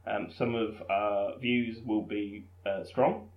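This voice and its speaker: A man's voice: fairly low pitch, fairly even intonation, slow pace. Jokey man hosting a home podcast. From England. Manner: jokey, teasing. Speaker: podcast host. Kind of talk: casual chat. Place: front room at home. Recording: roomy, dull lo-fi home recording.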